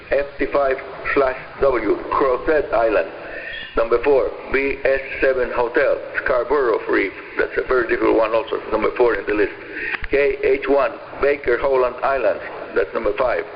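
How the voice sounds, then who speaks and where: A man in his seventies with a Cuban accent and Spanish as his first language presents a radio program in a deliberate, emphatic way. His voice has a lot of gravelly fry in it. It comes through a muffled shortwave signal with faint noise underneath. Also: medium pitch. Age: 70s. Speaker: radio show host. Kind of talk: scripted shortwave radio hobby program narration. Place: radio broadcast heard over shortwave.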